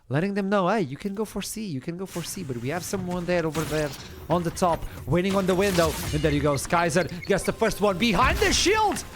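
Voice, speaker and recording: A man from Portugal doing excitable play-by-play commentary on an esports match. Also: on the high side. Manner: excitable, builds to shouts at big plays. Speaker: esports caster.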